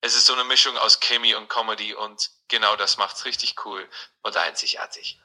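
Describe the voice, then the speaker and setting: A man's voice: fairly low pitch, steady tone, a little fast, bright, forceful tone, breathy edge, low-quality recording. A man's synthetic chatbot voice, polite and neutral, played from a phone into the conversation. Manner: polite, neutral, overly agreeable. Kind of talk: scripted-sounding assistant replies. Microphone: played from a phone speaker.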